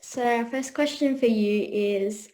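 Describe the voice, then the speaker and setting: Teenage female, fairly high voice, student interviewer, prepared questions. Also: a little slow, dark tone.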